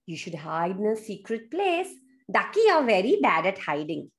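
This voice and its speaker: A woman's voice: mid-range voice, sing-song, normal speed, voice pushed down. A woman voicing a playful, boastful male bear from a children's story, calling out in a sing-song way. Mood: bossy, boastful.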